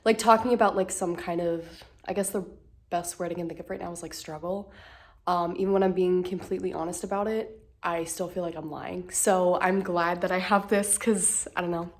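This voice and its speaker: A woman's voice: fairly low pitch, fairly even intonation, fairly quick. A woman in her twenties with a blocked nose, talking into a phone held at arm's length at home, hesitant and full of fillers and restarts. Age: 20s. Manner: hesitant, lots of fillers and restarts, self-deprecating. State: congested, slightly out of breath. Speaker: vlogger. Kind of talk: rambling casual vlog. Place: at home indoors.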